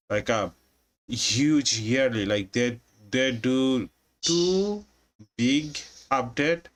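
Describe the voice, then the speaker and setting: A man from Bangladesh, chatting casually on his live stream in a halting way, with many restarts. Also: medium pitch, a bit bright. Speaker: livestreamer.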